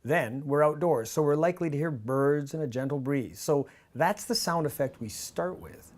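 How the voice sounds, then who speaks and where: A man in his fifties with a North American accent presents a scripted behind-the-scenes explainer in a measured, clear manner. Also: fairly high pitch, animated, a little mellow. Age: 50s. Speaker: radio drama director and presenter. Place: small indoor studio office with monitors. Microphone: lavalier on shirt.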